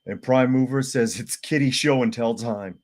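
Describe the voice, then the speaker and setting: A man with a sore, hoarse throat who hosts a live podcast, reading viewer comments aloud in a plain-spoken, casual way. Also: medium pitch, normal speed, a little mellow. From United States. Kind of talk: casual live-stream chat.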